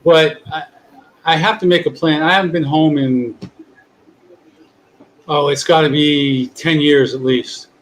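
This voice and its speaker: A man's voice: on the high side, fairly even intonation, a little slow, pauses often, slight background noise, rough recording. A man, hosting a casual online chat show in a rambling, talkative way. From Long Island, New York. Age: 60s. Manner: chatty, rambling, restarts often. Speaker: podcast host.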